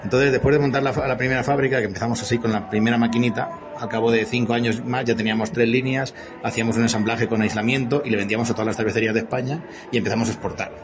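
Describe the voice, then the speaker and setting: A man's voice: medium pitch, steady tone, very fast, few pauses. Spanish man in his fifties over music. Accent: Spanish from Spain. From Spain. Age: 50s. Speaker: interview guest, company CEO. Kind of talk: conversational explanation in an interview.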